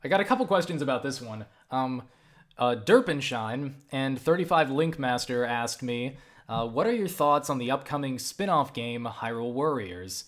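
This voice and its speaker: A man's voice: mid-range voice, normal speed. A young man answering viewer questions in a casual vlog, rambling and swearing, with many restarts. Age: young adult. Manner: rambling, profane, animated with frequent restarts.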